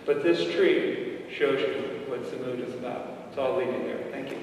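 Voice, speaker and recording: A man's voice: medium pitch, moderate pace. An older man with an American accent giving an informal public talk. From United States. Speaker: guest speaker. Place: large echoing indoor hall. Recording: echoey hall, far mic, noisy.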